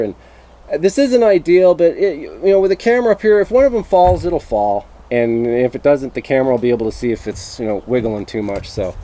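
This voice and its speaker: A man's voice: mid-range voice, dark tone. A man narrating a casual, unscripted hobby vlog in a folksy, self-deprecating way, recorded on a camera mic. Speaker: vlogger presenting a hobby equipment test. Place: outdoors and around a vehicle, handheld or mounted camera. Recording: clear wind and vehicle noise.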